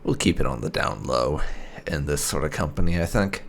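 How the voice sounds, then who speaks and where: A man's voice: very deep voice, lively intonation, normal speed, creaky, slight background noise. A man giving casual, rambling let's play commentary as a gaming YouTuber.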